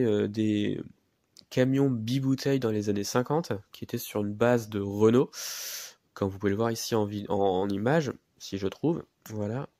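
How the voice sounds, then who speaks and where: A man's voice: mid-range voice, warm tone, no room sound, studio quality. A man with a head cold and a nasal voice, presenting a miniature car in a hesitant, casual way with many fillers. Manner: hesitant, many fillers, repeats words while handling the model.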